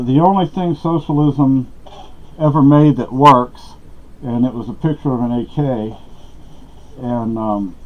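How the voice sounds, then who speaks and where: A middle-aged man from Texas talking casually to the camera at home, meandering with many fillers and restarts. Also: mid-range voice. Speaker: vlogger. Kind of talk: rambling casual talk to camera. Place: small dark room at home. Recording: dull lo-fi camera audio.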